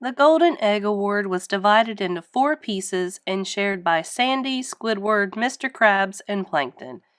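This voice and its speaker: A woman reading a children's story aloud in an animated narrating style. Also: medium pitch, measured pace, hardly any pauses, clear, resonant tone, no echo, studio quality. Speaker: storybook reader.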